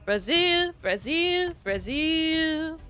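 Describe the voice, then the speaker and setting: A woman's voice: very high voice, expressive, extremely slow, bright, forceful tone, faint music behind. A woman streaming a game, chatting casually with viewers in a giggly, rambling way full of fillers. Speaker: VTuber streamer. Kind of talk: casual live-stream chat while gaming.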